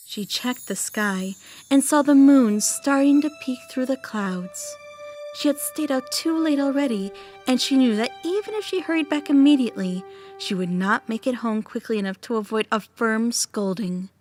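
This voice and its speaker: A young woman acting a calm, mysterious big sister telling tales in a measured way in an audio play. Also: a little high, soft background music, natural voice. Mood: eerie suspense. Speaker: storyteller. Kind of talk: dramatic storytelling in an audio play.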